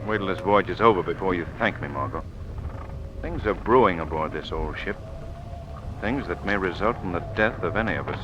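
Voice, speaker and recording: An actor playing a suave, resolute gentleman sleuth in a 1930s radio drama style. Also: low-pitched, brisk, close to an everyday voice. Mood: composed, grave. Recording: muffled, noisy old radio recording.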